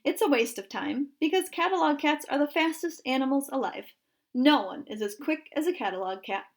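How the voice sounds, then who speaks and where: Woman as storybook dad, patient, mock-serious, measured explaining, high female register. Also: lively intonation, gravelly. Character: calm, patient storybook father, gently teasing.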